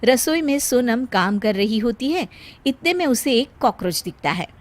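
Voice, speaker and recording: High-voiced woman story narrator. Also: expressive, moderate pace.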